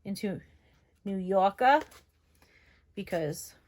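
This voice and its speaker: Young woman from New York, northeastern American accent, chatty rambling makeup vlogger, medium pitch. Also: slow, noisy background. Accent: general northeastern American. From New York, USA. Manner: chatty, rambling, lots of fillers. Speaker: beauty vlogger. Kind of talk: casual makeup tutorial chat.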